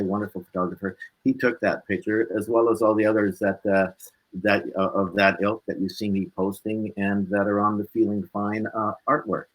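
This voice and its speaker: A man's voice: lowish voice, a bit bright, poor audio. A man speaking as a musician guest in a casual interview, telling stories in a rambling, easygoing way. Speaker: musician guest on a talk show.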